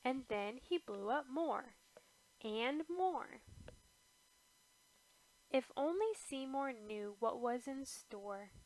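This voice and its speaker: Slow, high-voiced woman reading a storybook. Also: animated, a little mellow, low-quality recording. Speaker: storybook narrator. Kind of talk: scripted children's read-aloud narration.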